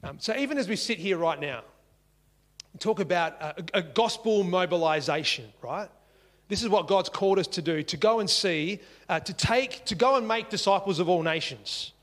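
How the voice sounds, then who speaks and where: A man's voice: high voice, lively intonation, normal speed, fairly bright tone, long reverb tail. A man preaching a sermon in earnest, repeating key phrases for emphasis. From Singapore. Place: church.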